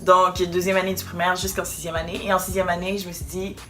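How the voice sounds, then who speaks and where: A woman's voice: lowish voice, flat delivery, fast, pushed and bright, soft background music. A young woman telling a personal story in a direct, emphatic way during a casual chat. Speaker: co-host.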